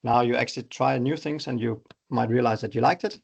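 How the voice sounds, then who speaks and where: A man's voice: a little fast, gravelly, a little mellow, husky, dull audio. A middle-aged man from Denmark with a Danish accent, presenting his startup in an online webinar in a conversational, explanatory way. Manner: explanatory, conversational pitch with examples.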